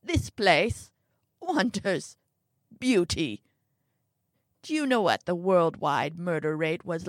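A woman's voice: low voice, creaky, fairly bright tone, dry recording, heavily acted. A woman doing a bitter, scheming old man. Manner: slow, growling and vengeful, rising to rants.

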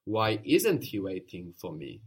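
A man's voice: low voice, wildly swooping pitch, husky. Patient male language teacher. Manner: patient, prompting questions and quick praise. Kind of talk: guided language lesson.

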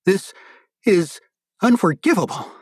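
An indignant male club president from an audiobook thriller, acted by a man narrating. He pitches his words high and hits them hard, accusing and furious. The sound is close and dry. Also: lively intonation, unhurried, slightly rough, dry recording, heavily acted. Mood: outraged. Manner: accusing, emphatic.

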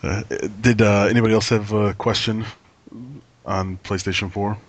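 A man hosting a casual chat, relaxed, laughing often and asking questions. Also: fairly low pitch, normal speed, no echo. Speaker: host.

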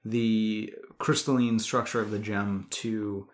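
A man's voice: on the low side, flat delivery, slow. A man co-hosting a podcast, talking casually and analytically about film and swearing freely. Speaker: podcast co-host. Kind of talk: casual film discussion chat.

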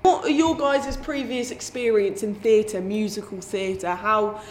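A woman's voice: medium pitch, measured pace, a little hiss or hum. A woman interviewing theatre cast members in a chatty way, with frequent affirming murmurs. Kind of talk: casual interview.

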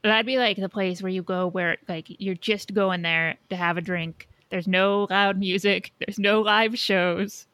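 A woman's voice: mid-range voice, steady tone, a little slow, few pauses, fairly bright tone, no room sound. A woman co-hosting a podcast, chatting casually about pop culture and thinking aloud. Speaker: podcast co-host.

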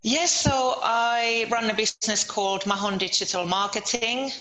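A woman's voice: a little high, moderate pace, bright, forceful tone, low-quality recording. A middle-aged woman from Finland, talking conversationally as an interview guest. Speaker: podcast guest, entrepreneur.

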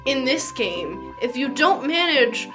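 A woman's voice: high-pitched, very animated, slow pace, over music. A woman chatting casually as a podcast co-host, joking and giggly as she goes. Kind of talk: casual comedic chat.

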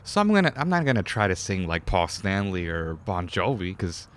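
Deep-voiced man hosting a casual podcast. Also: clear, resonant tone. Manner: excitable, swears casually, quick voice impressions. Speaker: podcast host. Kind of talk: casual chat.

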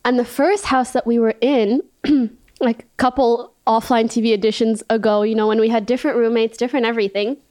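A young woman with a high voice, chatting casually and giggling as she hosts a guest on her stream. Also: steady tone, brisk, a little mellow. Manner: bubbly, giggly, talks with "like" a lot. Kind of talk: casual chat while eating on stream.

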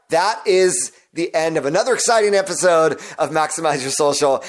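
Hoarse guy doing a solo podcast, explains his process step by step, plain middle pitch. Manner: earnest, instructive, talks straight to listeners. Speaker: podcast host.

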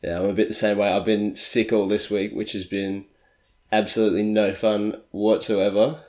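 A young man with a low voice co-hosts a podcast, stuffed up and nasal from the flu. His humour is dry and teasing and he laughs easily, all in an echoey room. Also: little pitch movement, moderate pace, darkish tone. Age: young adult. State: congested with flu. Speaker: podcast co-host. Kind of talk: casual chat.

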